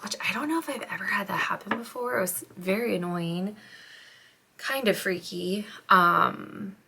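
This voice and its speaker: A young woman vlogging as a stay-at-home mom, chatting casually and rambling with lots of "like", her voice slightly hoarse and congested after being sick, sometimes dropping to a soft near-whisper. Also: mid-range voice, normal speed, slight background noise. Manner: chatty, rambling, heavy use of "like". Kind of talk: casual chat to camera. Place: home, getting ready.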